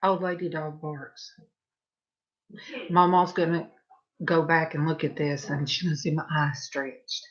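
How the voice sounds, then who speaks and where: A middle-aged woman with a Southern US accent, chatting to her viewers at home in a loose, rambling way. Her voice sits on the low side. The recording sounds dull and lo-fi. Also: level tone, unhurried, long pauses. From Southern California. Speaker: vlogger. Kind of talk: casual chat while painting. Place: home, indoors.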